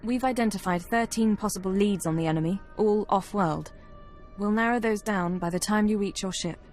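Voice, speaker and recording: An actress plays an analytical intelligence officer in a sci-fi game. She has a medium-pitched voice and reports briskly and clinically, with exact detail. There is faint music in the background. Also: darkish tone, natural voice. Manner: precise, clinical, matter-of-fact. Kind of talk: video game cutscene acting.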